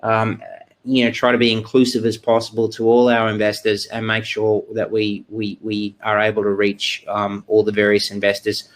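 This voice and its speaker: A man's voice: lowish voice, completely flat delivery. A man in his thirties who grew up abroad and identifies as Australian, presenting in a video-call webinar with lots of fillers and restarts. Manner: halting, many fillers and restarts. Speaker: exchange research presenter. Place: indoor room, video call. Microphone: webcam video call.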